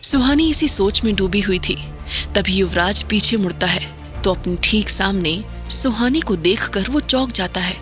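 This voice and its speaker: A woman in a middle register tells a horror audio drama in a steady, measured way and builds suspense line by line. Music plays beneath her voice throughout. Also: mid-range voice. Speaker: narrator. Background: background music.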